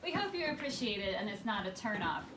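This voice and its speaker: A woman reading rhymed, scripted narration to a live audience as the presenter of a puppet show, in a storybook manner with deliberate pauses. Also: medium pitch, sing-song. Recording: distant mic, echoey room, noisy live recording.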